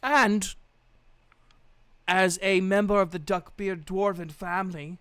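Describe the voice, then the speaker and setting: A man's voice: sits high, fairly even intonation, slow, sharp tone, smooth, no room sound, heavily acted. A man voicing a scheming dwarf guild recruiter in a tabletop game, pushy and mocking, with emphatic salesman's patter. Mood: boastful pitch.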